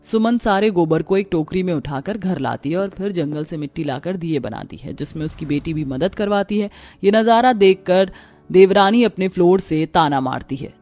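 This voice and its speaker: A woman's voice: fairly low pitch, lively intonation, normal speed, darkish tone, faint music behind. A woman narrating a scripted cartoon family story.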